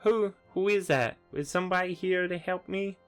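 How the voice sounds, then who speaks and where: Man voicing a high-pitched, embarrassed young fighting creature. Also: moderate pace, clear, resonant tone, soft background music, lightly acted. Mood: anxious. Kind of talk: tabletop roleplay character voice.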